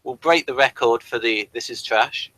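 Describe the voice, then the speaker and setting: Male review co-host. Bright, crisp-edged voice, very dry recording, casual rambling delivery. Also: mid-range voice, normal speed, no room sound, rough recording. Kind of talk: casual episode review chat.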